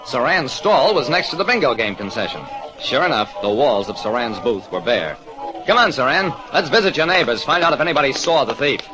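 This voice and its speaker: Actor as a lively American radio detective. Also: male voice, medium pitch, fairly quick, natural voice. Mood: narrating. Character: wry, quick-thinking American private detective. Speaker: narrator and detective lead. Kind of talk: 1940s radio detective narration. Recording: dull vintage radio recording, music behind.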